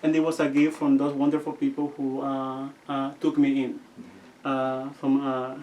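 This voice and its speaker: A young man with a Guatemalan accent, telling stories as an interview guest and often pausing to search for his words. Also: medium pitch, monotone, darkish tone, highs cut off, slight background noise. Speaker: seminarian guest. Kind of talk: casual interview storytelling. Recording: distant from the mic.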